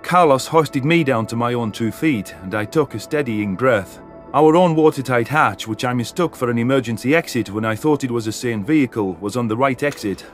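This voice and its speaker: A man narrates a story in the first person from a script. His voice sits at a medium pitch. Music plays clearly behind him. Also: a little fast, hardly any pauses. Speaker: audiobook narrator.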